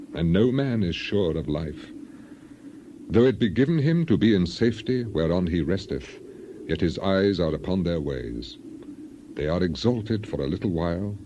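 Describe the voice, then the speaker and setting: A man acts out a devout, suffering ancient man pleading his case in a Bible drama. The voice is low and the delivery grave and declamatory, with archaic phrasing. Noticeable ambient noise runs behind it. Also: lo-fi sound. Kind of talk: dramatized scripture reading.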